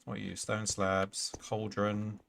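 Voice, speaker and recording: A man's voice: on the low side, monotone, slow, gravelly, background noise. A man streaming a block-building game, chatting casually and talking to the mobs he meets. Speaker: gaming streamer.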